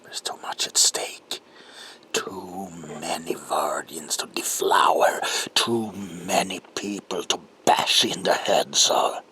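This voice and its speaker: A man playing a sadistic, gloating monstrous Victorian villain in a horror ASMR roleplay, with a whispery rasp and a slow, menacing, theatrical delivery. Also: sits low, bright, forceful tone, full character voice. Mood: sadistic menace. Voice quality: whispery, creaky, breathy rasp.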